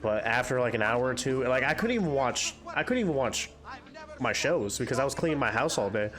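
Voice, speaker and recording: A man's voice: mid-range voice, lively intonation, brisk, a bit bright. A young man with a congested voice, chatting in a rambling, candid way while hosting a game playthrough. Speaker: gaming channel host. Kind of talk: casual chat during a game playthrough.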